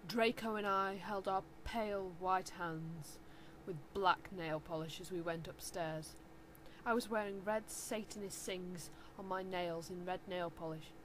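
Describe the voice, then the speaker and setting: A woman's voice: on the low side, unhurried, slight background noise, rough recording. A woman giving a theatrical, mock-serious dramatic reading of fan fiction as narrator. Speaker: reader.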